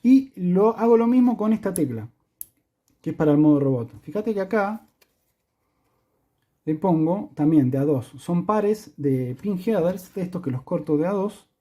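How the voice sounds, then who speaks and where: Husky, mellow Argentine man explaining electronics. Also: high voice, expressive, normal speed, highs cut off. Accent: Argentine Spanish. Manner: explanatory, checks in with the listener. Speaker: electronics tutorial presenter. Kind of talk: hands-on tutorial explanation.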